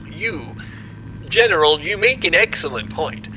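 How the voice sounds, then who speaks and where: Man acting a formal scientist over loud rumbling. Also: animated, measured pace, slight background noise, heavily acted, rough recording. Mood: grave, deliberate. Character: apologetic, condescending male scientist explaining a disaster. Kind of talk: audio drama acting.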